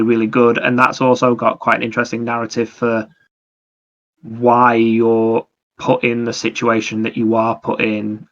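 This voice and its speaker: A man's voice: medium pitch, fairly bright tone, lo-fi sound. A man co-hosting a podcast, casually explaining video games in detail. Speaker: podcast co-host. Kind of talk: casual video game discussion.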